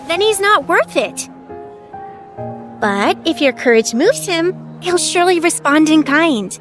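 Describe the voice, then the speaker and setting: A woman voicing an encouraging, animated female confidante in a romantic audio drama. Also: extremely high pitch, measured pace, soft background music, pitched above the performer's own voice, heavily acted.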